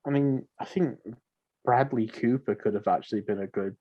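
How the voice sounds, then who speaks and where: A man's voice: medium pitch, normal speed, highs cut off. Casual man chatting on a podcast. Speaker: podcast host. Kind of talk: casual film podcast chat.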